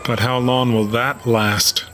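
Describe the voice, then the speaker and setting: An actor playing a cynical, stern police officer in an audio drama, dry and skeptical. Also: male voice, medium pitch, flat delivery, slow, natural voice. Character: cynical, stern male police officer, self-styled realist. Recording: crowd noise behind. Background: crowd.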